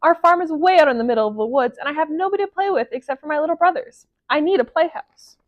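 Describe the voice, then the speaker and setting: Woman voicing an insistent high-pitched little farm girl. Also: expressive, fast talker, narrow, tinny audio. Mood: eager, insistent. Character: eager, insistent, bossy young farm girl. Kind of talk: storybook character voice.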